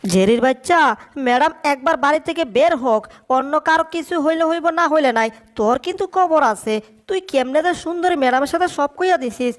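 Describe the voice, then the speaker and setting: High-pitched, blustering cartoon tomcat with , voice-acted. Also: lively intonation, moderate pace. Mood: angry, threatening. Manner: blustering threats and exclamations in colloquial speech. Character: scheming, hot-tempered male cartoon cat, boastful and flirtatious. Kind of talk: comic cartoon dubbing.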